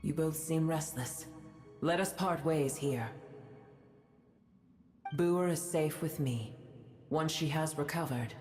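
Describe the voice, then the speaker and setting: Imperious female guardian, anime game, deep, slow, curt and formal, echoey. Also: darkish tone, soft background music. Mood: grave. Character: aloof, stern, imperious guardian woman.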